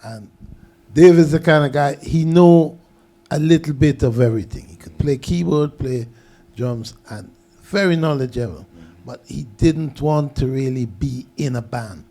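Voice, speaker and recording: An older man, a guest on a radio show, telling unhurried stories about how he started out. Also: mid-range voice. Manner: relaxed, unhurried storytelling. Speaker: guest producer. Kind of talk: casual radio interview. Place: radio studio.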